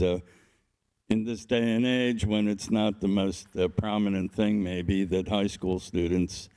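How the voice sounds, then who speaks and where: A man from the audience with a very deep, dark voice, speaking slowly in a halting, measured way. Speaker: audience member.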